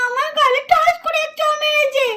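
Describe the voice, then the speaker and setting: A woman's voice: very high voice, steady tone, fairly quick, bright, forceful tone, slight background noise, pitched above the performer's own voice. A woman voicing a mischievous little boy who teases his sister. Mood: tattling. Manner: teasing, gloating. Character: naughty little boy Adi, teasing.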